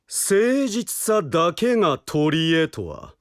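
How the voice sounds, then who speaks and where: A haughty male prosecutor in an audio drama presses his case with pompous, sardonic authority. His high voice swings widely in pitch, recorded dry. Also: big pitch swings, drawn-out words, no echo, full character voice. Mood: accusatory, forceful. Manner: pompous, archaic commanding phrasing, sardonic. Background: background music.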